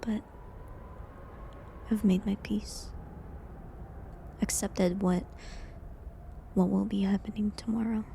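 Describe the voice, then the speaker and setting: Female actor for condemned village healer in audio drama: resigned, soft, halting, mellow tone, faint background noise. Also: medium pitch, little pitch movement. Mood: resigned, sad. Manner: soft, hesitant, breathy delivery with pauses. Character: falsely accused village healer awaiting execution, sad and resigned. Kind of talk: dramatic audio roleplay monologue, medieval setting.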